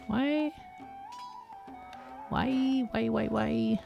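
A woman's voice: a little high, extremely slow, vocal fry, lo-fi sound, over music. A woman gives casual, unscripted game commentary, thinking aloud and asking herself questions as she plays. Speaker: gaming video host.

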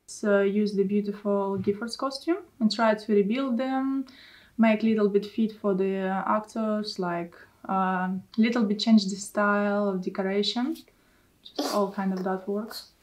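A woman from Russia talks casually in a seated interview, using simple, broken English. Her voice sits at an ordinary middle pitch. She is picked up from slightly away from the microphone. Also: fairly even intonation, a little slow, darkish tone, recorded from a distance. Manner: simple non-native English, light laughs. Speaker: aerialist and costume maker. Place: indoor costume workshop.

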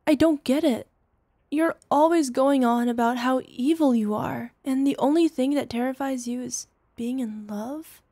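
A woman acting in an ASMR roleplay as a devoted, playful older girlfriend, with a soft, tender, teasing manner. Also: high-pitched, unhurried, dry recording. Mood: concerned, tender. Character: devoted, playful, smitten older girlfriend soothing her lover.

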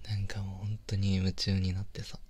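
A man acting an affectionate, clingy young boyfriend in an intimate roleplay audio drama, speaking softly and breathily, often near a whisper. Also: deep voice, completely flat delivery, husky. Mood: delighted. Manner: slow, tender, coaxing. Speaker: online voice actor.

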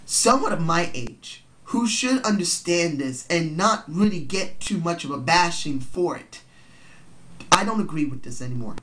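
A young man talks straight into his webcam from a cramped bedroom, his voice stuffy and congested from a cold. He sits fairly high in pitch for a man. He lays out his opinions earnestly and circles back to restate them for emphasis. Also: animated, unhurried, a bit bright, a little hiss or hum. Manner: earnest, repeats points for emphasis. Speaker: vlogger. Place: small cluttered bedroom indoors. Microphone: built-in webcam mic.

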